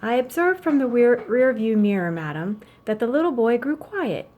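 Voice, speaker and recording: A woman's voice: on the high side, sing-song, moderate pace, phone-quality sound, pitched above the performer's own voice. A woman reading as a formal male chauffeur in a children's storybook, deferential and dryly fussy as he reports to his madam.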